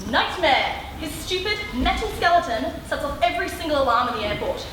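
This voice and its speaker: High, echoey ranting superheroine acted by a woman. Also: expressive, pushed and bright. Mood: irritated, scornful. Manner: scornful, fast-complaining. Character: irritable, ranting female mutant superhero. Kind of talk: comic stage acting, ranting. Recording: very echoey hall, distant mic, audience noise.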